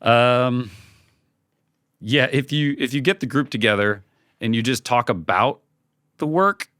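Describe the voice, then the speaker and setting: A man giving casual, conversational advice as a podcast guest, informal and halting, often acting out bits of imagined dialogue. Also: mid-range voice, a bit bright, no echo, clean studio sound. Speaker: podcast guest, creative team leader.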